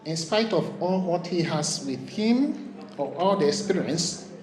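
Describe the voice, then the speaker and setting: A man from Ghana, giving a slow, sincere, halting tribute at a memorial. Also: on the high side, animated. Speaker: memorial speaker. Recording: echoey hall with crowd.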